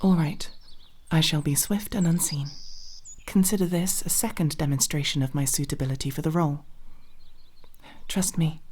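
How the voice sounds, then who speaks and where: A nervous, earnest elf rogue played by a woman in an ASMR fantasy roleplay. Her voice is low, soft and mellow, with a breathy edge that often slips toward a whisper. She chooses formal words with naive sincerity and slight hesitation. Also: normal speed. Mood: determined.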